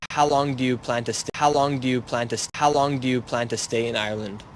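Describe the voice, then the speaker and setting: Man firing rapid blunt questions. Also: mid-range voice, fairly even intonation, a little hiss or hum. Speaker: street interviewer.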